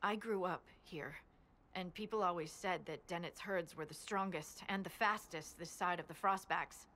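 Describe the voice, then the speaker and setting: A woman's voice: on the low side, normal speed, pushed and bright. A woman voicing an earnest, plain-spoken young female scout in a fantasy video game. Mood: serious, concerned.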